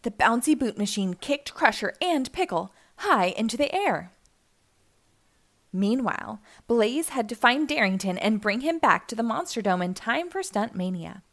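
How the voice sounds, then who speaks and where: A woman reading a children's picture book aloud in an expressive storytelling style. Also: a little high, normal speed.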